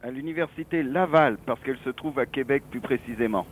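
A middle-aged or older man with an accent from France, giving halting, digressive explanations as a telephone interview guest. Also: medium pitch. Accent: French from France. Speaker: association president, interview guest. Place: office, on the phone. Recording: noisy telephone line.

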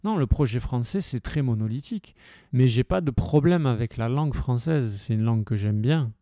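A man's voice: medium pitch, expressive, moderate pace, warm tone, dry recording, clean studio sound. A man from the south of France talking heatedly and casually, with many hesitations and restarts. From Occitan-speaking region of France. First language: French. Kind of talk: passionate casual talk.

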